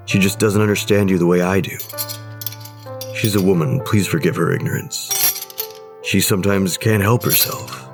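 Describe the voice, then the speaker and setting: A deep-voiced, brooding husband played by a male actor in an audio horror drama. He is gruff and curt, bitter and belittling toward his family. Clear music plays behind his low voice. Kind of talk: audio horror anthology acting.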